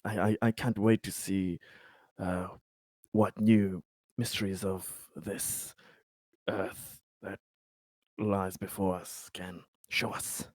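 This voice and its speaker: A man playing a tabletop roleplaying game, casually improvising his character in a halting way, pausing to find words. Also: low voice, little pitch movement, darkish tone, no room sound.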